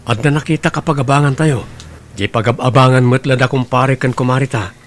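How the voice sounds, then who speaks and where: Husband role: a man voicing a steady, matter-of-fact speaker. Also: mid-range voice, a little fast, natural voice.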